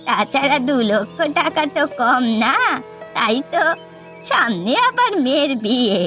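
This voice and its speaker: A woman's voice: sits high, very animated, unhurried, a bit bright, full character voice. A woman voicing a scheming, greedy, domineering old mother-in-law in a cartoon family drama, scolding and scornful. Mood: greedy, gloating. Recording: background music.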